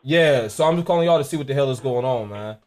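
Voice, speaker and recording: A man's voice: medium pitch, animated, fast talker, a little mellow, soft background music. A young American man making a prank call in brash, slangy, confrontational banter, swearing and repeating himself. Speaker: prank caller and streamer. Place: indoor gaming setup.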